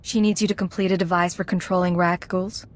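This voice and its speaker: An actress playing a secret agent in a video game, speaking in a terse, dry, businesslike way. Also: female voice, on the low side, level tone, brisk, lightly acted. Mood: guarded.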